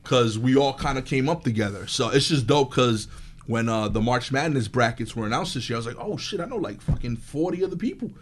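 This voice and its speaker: A male stand-up comedian in casual chat, talking in an animated, rambling way full of filler "likes". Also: mid-range voice, fairly quick.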